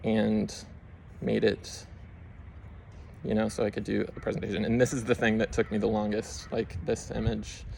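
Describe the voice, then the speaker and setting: A man's voice: lowish voice, flat delivery, moderate pace, gravelly, a little mellow, slight background noise, poor audio. A young man casually walking through his screen, rambling with lots of fillers as he explains what he did. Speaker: YouTube creator. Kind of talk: casual screen-share walkthrough.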